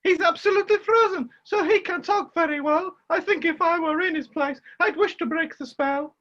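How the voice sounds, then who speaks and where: A woman's voice: very high voice, moderate pace, a bit bright, muffled recording. A woman playing a bossy, fretful washerwoman mother in a rhyming pantomime, exclaiming in a stagey way. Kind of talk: rhyming amateur pantomime acting.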